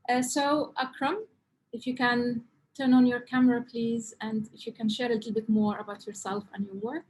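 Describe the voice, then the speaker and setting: A woman's voice: medium pitch, poor audio. A woman in a mid-range voice chairs an online panel over a video call. She speaks appreciatively, with frequent restarts, over a faint background hum. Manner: appreciative, halting, with repeated restarts.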